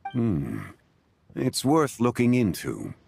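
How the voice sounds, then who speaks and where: An actor playing a composed, scholarly gentleman in a video game, speaking in a formal, measured, erudite manner. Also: medium pitch, huge pitch swings, creaky, a little hiss or hum, exaggerated voice. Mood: thoughtful. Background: game music.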